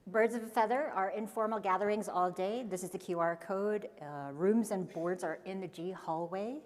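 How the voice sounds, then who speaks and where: A woman's voice: medium pitch, moderate pace, a little mellow. A woman co-hosting a conference stage, reading upbeat announcements about the event. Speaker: event co-host. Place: large conference hall. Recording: long hall reverb, faint ambience.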